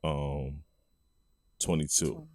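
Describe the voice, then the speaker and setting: A man's voice: a bass voice, level tone, extremely slow, a little mellow, no echo, clean studio sound. A man, co-hosting a podcast in casual chat, telling stories laid-back and chuckling often. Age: 40s. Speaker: podcast co-host.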